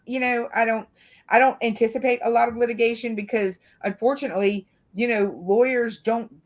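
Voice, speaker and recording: A woman from the American South speaking casually and at length as a panel commentator, circling through her points with lots of filler phrases. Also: on the high side. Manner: rambling, frequent "you know". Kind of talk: casual true-crime discussion.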